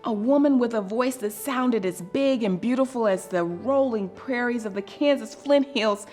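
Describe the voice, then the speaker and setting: A woman reading a children's story aloud in a deliberate, expressive and dramatic storytelling manner. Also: on the high side, faint music behind. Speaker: storybook reader.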